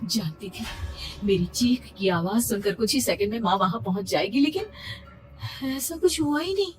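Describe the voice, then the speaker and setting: A woman's voice: mid-range voice, animated, normal speed, lightly acted. A woman playing a frightened young pregnant woman, telling her horror story in a suspenseful, emotional, theatrical first-person style. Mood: rising fear. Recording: distant-sounding voice under loud music. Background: loud background music.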